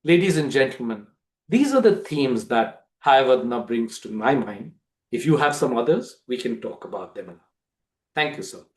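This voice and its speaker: A man telling a story to a group in a theatrical way, with deliberate pauses. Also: medium pitch, expressive. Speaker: storyteller giving a dramatic reading. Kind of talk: dramatic storytelling to a book club.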